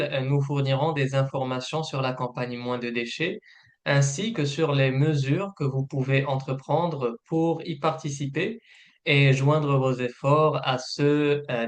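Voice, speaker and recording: A man presenting a scripted informational webinar in a formal, hesitant manner with frequent filled pauses. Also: medium pitch. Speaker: program manager presenting a webinar.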